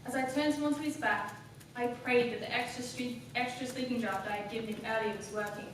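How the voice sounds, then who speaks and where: A coldly self-possessed, cunning woman played by a young student actress delivers a stage monologue in a highish voice. Her delivery is formal, deliberate and dramatic. It is captured from a distance in a very echoey room with clear background noise. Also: steady tone, lightly acted. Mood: cool, confiding. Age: young adult. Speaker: student actor. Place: classroom performance in front of class.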